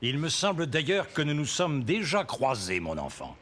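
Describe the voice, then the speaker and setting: A man playing a calm, courteous colonial commander in a dubbed cartoon, speaking politely and with assurance. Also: on the high side, normal speed, a little hiss or hum. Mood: calm, commanding. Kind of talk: dubbed animated film acting.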